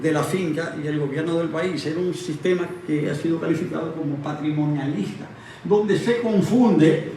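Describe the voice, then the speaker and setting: A man explaining history in conversation, haltingly and reflectively, often repeating phrases. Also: on the high side, warm tone. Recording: very echoey room, distant mic, faint noise.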